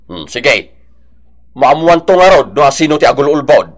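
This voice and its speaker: A stern, measured village captain. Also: male voice, on the high side, sing-song. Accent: Ilocano. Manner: serious, official, deliberate. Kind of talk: Ilocano radio drama acting.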